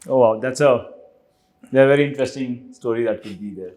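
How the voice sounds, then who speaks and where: A man's voice: medium pitch, brisk, a little mellow. A man in his forties with an Indian English accent, a podcast guest rambling through his career story in a chatty way. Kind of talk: casual career interview.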